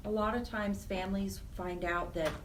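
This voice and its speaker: A woman's voice: mid-range voice, fairly even intonation, normal speed, far from the mic, background noise. A woman speaking conversationally and earnestly as a nonprofit director being interviewed, stressing points by repeating words. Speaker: nonprofit program director, radio interview guest.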